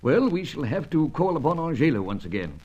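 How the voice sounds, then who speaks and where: Hearty old British spymaster, swooping husky voice. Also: male voice, fairly high pitch, very animated, a little fast, a little mellow, muffled recording. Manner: plummy, clubby old-school speech. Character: older British secret service chief, hearty and avuncular. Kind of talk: old-fashioned radio serial acting.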